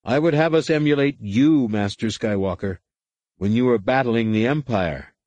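A man's voice: mid-range voice, normal speed, a little mellow, dry recording, lo-fi sound, pitched above the performer's own voice, natural voice. A man reading aloud, voicing an angry, defiant middle-aged male warrior-monk who argues in a heated, rising way. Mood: impassioned.

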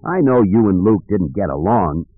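An urgent, emphatic starship pilot, as a male narrator plays him. He pleads with rough loyalty and swinging intonation in an audiobook, on a narrow-band recording. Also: on the low side, animated, moderate pace, no room sound. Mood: pleading.

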